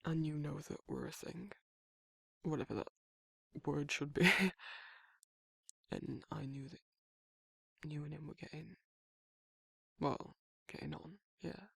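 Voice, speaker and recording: Casting: man to act as tender, guarded male werewolf for ASMR roleplay; slightly high-set but husky voice, hesitant, rambling delivery. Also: bright, forceful tone, no room sound, clean studio sound, full character voice. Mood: earnest, reassuring. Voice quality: husky, breathy, gravelly. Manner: hesitant, halting, rambling, informal. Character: guarded, soft-hearted male werewolf shyly confessing affection. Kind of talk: intimate ASMR roleplay monologue.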